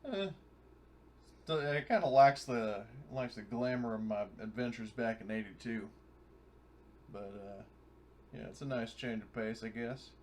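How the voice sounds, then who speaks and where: A man's voice: medium pitch, unhurried, pauses often, a little mellow, slight background noise. A man chatting casually as a player in a tabletop roleplaying game.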